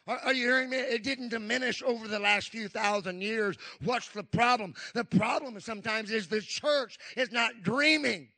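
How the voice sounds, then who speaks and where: A man's voice: very high voice, moderate pace, hardly any pauses, pushed and bright. A man in his fifties preaching a Pentecostal sermon, emphatic, with frequent call-and-response asides to the congregation. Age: 50s.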